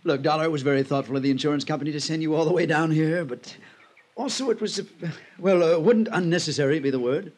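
An actor playing a carefree, flippant rich playboy in a classic radio drama, breezy, genteel and amused, with a mannered delivery. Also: male voice, on the high side, quick pace, darkish tone, lightly acted. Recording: old radio broadcast recording.

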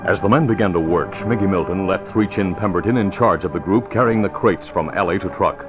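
Deep-voiced man narrating old radio drama. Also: brisk, loud music behind the voice. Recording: muffled old radio recording.

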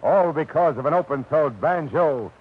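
An actor playing a hard-boiled private detective, narrating in terse, clipped first person in a 1950s radio drama. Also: male voice, a little high, lively intonation, normal speed, slight background noise, close to an everyday voice. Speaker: narrator and lead. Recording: tinny, phone-like old radio recording with music.

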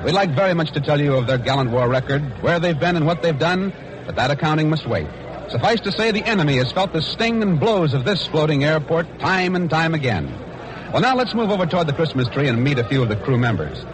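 A man's voice: a little high, expressive, a little fast. An American man giving 1940s on-location radio commentary as a reporter. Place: aircraft carrier hangar deck. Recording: noisy old shipboard radio broadcast.

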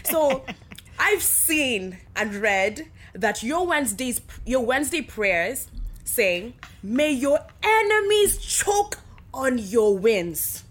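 A woman's voice: a little high, very animated, slow. A woman hosting a podcast in a playful, effusive, sassy way, chatting casually with lots of slang. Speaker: podcast host.

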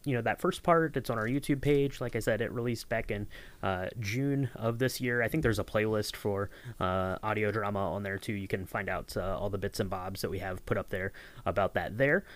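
A man's voice: fairly low pitch, brisk. A man hosting a podcast in casual chat, talking in a rambling, hedging and affable way. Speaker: podcast host and audio editor.

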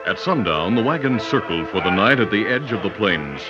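Deep-voiced man narrating an old radio adventure. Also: animated, moderate pace. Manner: dramatic announcer delivery. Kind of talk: 1940s-50s radio adventure narration, scripted. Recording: dull old radio recording with music.

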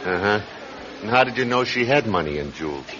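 An actor in an old radio crime drama playing a skeptical, hard-boiled police sergeant who talks in a brusque, sarcastic, interrogating way. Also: male voice, fairly low pitch, lively intonation, brisk, crowd noise, lightly acted. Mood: stern, probing. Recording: dull old radio recording.

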